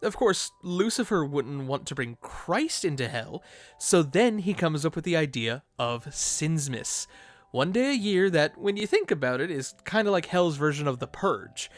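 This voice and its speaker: A man's voice: fairly high pitch, sing-song, moderate pace. A man narrating an informal fan video essay, with playful, conversational asides.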